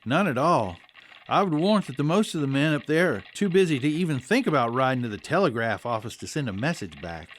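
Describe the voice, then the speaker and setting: An actor with an American accent playing a loyal, respectful young telegraph assistant in a radio drama. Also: male voice, mid-range voice, sing-song, fairly quick, natural voice. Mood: respectful, determined.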